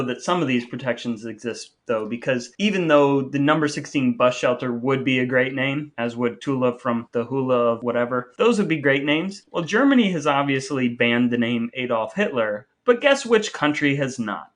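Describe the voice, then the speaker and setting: Playful man, mid-pitched podcast co-host. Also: a bit bright. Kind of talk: casual humorous chat.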